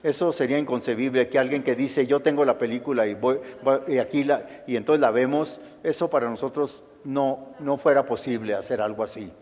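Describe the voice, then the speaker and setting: A man's voice: medium pitch, a little fast, hardly any pauses, darkish tone. An older man from the United States with a Chicano Spanish accent, giving a thoughtful lecture and answering audience questions, often restarting his phrases. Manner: thoughtful, with frequent restarts and fillers. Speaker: guest lecturer, historian. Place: lecture hall. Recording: lecture hall with long reverb.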